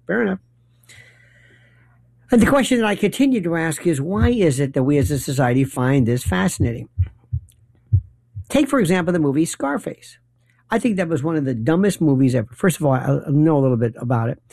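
An older man hosting a late-night call-in radio show, musing aloud in a rambling, opinionated monologue with animated asides. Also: on the high side, a little fast, gravelly, long pauses, dry recording. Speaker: radio talk show host. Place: radio studio.

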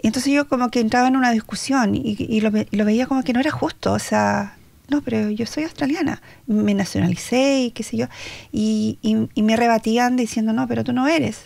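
A woman's voice: on the high side, fairly even intonation, a little fast, gravelly, darkish tone, no echo. An older woman with a Chilean accent hosting a chatty Spanish-language radio talk show, asking questions with lots of fillers. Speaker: radio host. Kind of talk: casual radio interview. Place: radio studio.

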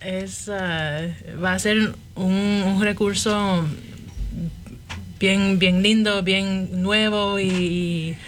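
Guest: woman from Miami, mixed Puerto Rican-Mexican accent, slow and halting, faint hiss behind. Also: mid-range voice, steady tone. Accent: mixed Puerto Rican and Mexican Spanish. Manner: halting, many fillers and restarts. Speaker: library supervisor, guest. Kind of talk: radio interview.

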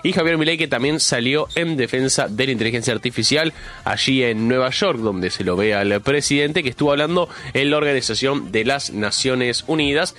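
A man's voice: mid-range voice, a little fast, fairly bright tone. A male radio host with a Rioplatense Spanish accent, opening the show with a chatty monologue while congested with a cold, drawing out words for emphasis. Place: radio studio.

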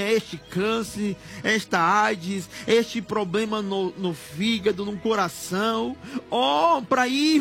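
A Brazilian man leads a fervent broadcast prayer in a very high-pitched voice. He pleads slowly and emotionally, often swelling toward a shout, with music playing underneath. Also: vocal fry. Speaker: preacher leading prayer on a broadcast. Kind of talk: fervent extemporaneous Pentecostal prayer. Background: background music.

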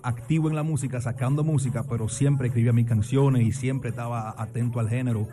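A man's voice: medium pitch, steady tone, a little fast, dark tone. A man in his thirties from Switzerland with a Dominican accent, an earnest, upbeat guest musician answering in a radio studio interview. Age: 30s. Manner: earnest, upbeat, repeats affirmations.

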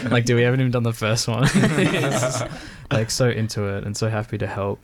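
A man in a band chatting on a radio show, telling stories excitably with lots of dudes and likes. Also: medium pitch, brisk. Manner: excitable storyteller, says dude and like a lot. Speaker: band member guest. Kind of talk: casual radio interview chat.

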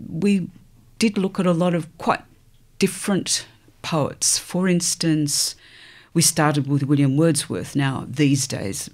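An older woman with an Australian accent, a poet answering interview questions in a thoughtful, measured way, pausing between phrases. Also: low voice, darkish tone. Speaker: poet guest.

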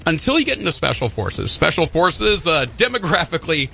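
A man co-hosting a radio show, trading loose banter with a partner. His voice is bright and lively and swings up and down as he reads trivia and tosses in jokes. A faint hum of room ambience sits behind him. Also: a little high, brisk, creaky. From Polish heritage. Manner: animated, reads birthday trivia with jokey asides. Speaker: radio co-host.

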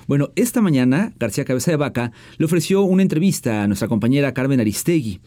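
A man's voice: mid-range voice, lively intonation, fast, dark tone. A man with a Mexican accent presenting radio news in a partly scripted, partly conversational style as host.

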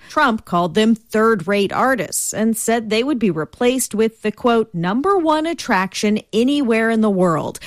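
A woman news correspondent filing a report with a brisk, wry delivery. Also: medium pitch, no room sound, clean studio sound.

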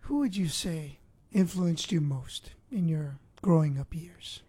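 Mellow-voiced woman host asking questions. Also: sits low, measured pace, husky, no room sound. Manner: probing, asks follow-up questions. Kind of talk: interview questions.

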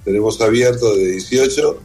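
A man with a Buenos Aires accent speaks as an interview guest. His voice is low and husky, and his delivery is conversational, with faint music behind him. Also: normal speed, darkish tone. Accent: Argentine, Buenos Aires. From Argentina. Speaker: interview guest, whisky museum owner. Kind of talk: conversational interview, personal account.

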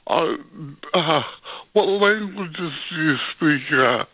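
A man calling in to a talkback radio show, speaking in a halting, hesitant way with many pauses. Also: fairly high pitch, no echo. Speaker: caller. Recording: tinny phone line.

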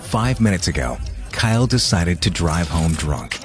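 A man narrating a public service ad in a slow, grave, measured way. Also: sits low, sing-song, loud music behind the voice.

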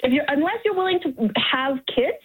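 A woman's voice: high voice, lively intonation, fairly quick. A middle-aged woman calling a radio phone-in, speaking frankly and emphatically off the cuff and repeating words for stress. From Montreal, Canada. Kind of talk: spontaneous opinionated phone-in talk. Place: on a phone call to a radio show. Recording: muffled narrow-band phone line.

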